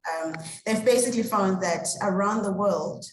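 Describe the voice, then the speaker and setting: A woman with a mid-pitched voice, recorded in an echoey room. She welcomes participants as a discussion moderator, phrasing things haltingly. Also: crowd noise. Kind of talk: welcome address at an online panel.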